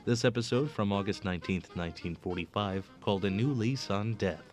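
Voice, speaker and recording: Radio host guy, smooth announcer delivery, kinda low voice. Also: fairly quick, soft background music. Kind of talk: radio program hosting.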